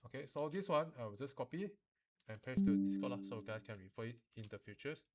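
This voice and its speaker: A man teaching software informally, code-switching and often checking that students follow. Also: mid-range voice, animated, fast, loud music behind the voice. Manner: instructional, often checks understanding, uses particles like lah. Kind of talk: informal software tutorial mixing English and Mandarin.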